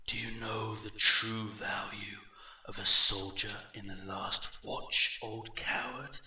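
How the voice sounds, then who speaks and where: A man voicing a mocking, commanding undead warlord in a fantasy audiobook, with slow, imperious delivery. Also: lowish voice, little pitch movement, echoey room, recorded from a distance, muffled recording, exaggerated voice. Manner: slow, mocking, rising to shouted intensity. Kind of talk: fantasy audiobook character dialogue.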